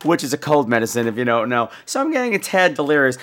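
A man's voice: mid-range voice, fast talker. An American man hosting a podcast in a casual, rambling, bawdy comic style, campy and a bit phlegmy from a cold. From United States. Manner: campy, bawdy, animated delivery. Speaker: podcast host.

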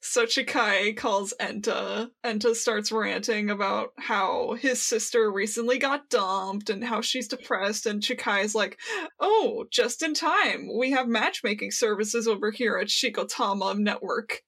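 A woman co-hosting a casual fan podcast, laughing as she talks and excitedly acting out the lines she quotes. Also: medium pitch, a little slow, creaky. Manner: giggly, laughs while talking. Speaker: podcast co-host.

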